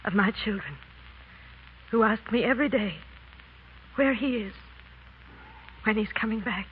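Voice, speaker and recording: A woman's voice: a little high, unhurried, lightly acted. A woman playing a desperate, devoted Frenchwoman pleading formally and earnestly for her husband in a 1930s radio drama. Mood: despairing. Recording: muffled, noisy 1930s radio recording.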